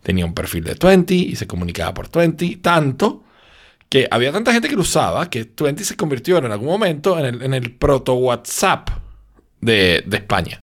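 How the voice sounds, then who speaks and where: A man's voice: mid-range voice, sing-song, normal speed. A sleepy man from Venezuela with a Venezuelan accent, hosting a podcast and telling a rambling story full of little tag questions. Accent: Venezuelan Spanish. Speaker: podcast host. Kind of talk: casual podcast chat.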